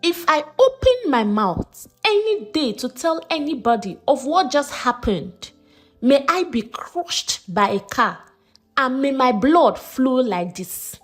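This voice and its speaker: A woman voicing a cruel, commanding uncle in a dramatized folktale, with a forceful, threatening manner. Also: high voice, very animated, slow, soft background music, full character voice. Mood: bossy, threatening. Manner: forceful, domineering, coaxing at times.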